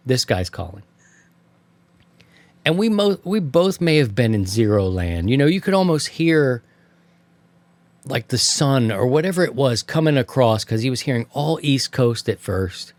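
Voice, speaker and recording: A man with a mid-pitched voice talks casually as a radio hobby show host. He tells stories in loose, slangy language and slips into quoting himself and others. The sound is dry and close. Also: very animated, moderate pace, a little mellow, no echo. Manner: colloquial, slangy, acts out remembered exchanges. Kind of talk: casual anecdotal storytelling to co-hosts.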